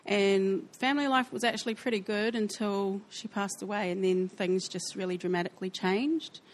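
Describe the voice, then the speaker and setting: A woman with a New Zealand accent sharing her life story in a church interview, speaking softly and hesitantly with frequent pauses. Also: on the low side. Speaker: church member sharing testimony. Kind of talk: personal testimony interview.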